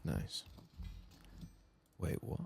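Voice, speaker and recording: A soft-spoken man murmuring ASMR commentary as he plays a video game. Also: very slow, a little hiss or hum. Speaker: ASMR gaming streamer.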